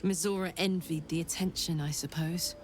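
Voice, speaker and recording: A woman's voice: fairly low pitch, normal speed, natural voice. Woman acting a hearty, blunt British soldier woman. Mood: wry, bitter. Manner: chatty, blunt, earnest, expressive. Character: hearty female infernal-engine-hearted soldier escaped from the hells. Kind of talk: video game character acting. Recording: game audio with music behind. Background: music.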